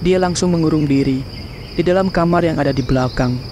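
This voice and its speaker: A man narrates a horror cartoon in a steady, measured storytelling voice that sits a little high. Faint crickets and night ambience run behind him. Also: slight background noise.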